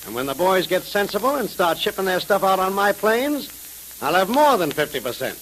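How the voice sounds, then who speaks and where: Smug crime boss, vintage radio serial: high, animated, insinuating threats, faint hiss. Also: male voice, brisk, low-quality recording. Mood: menacing. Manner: sneering, sarcastic, insinuating. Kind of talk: 1930s radio drama acting. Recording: hissy 1930s radio recording.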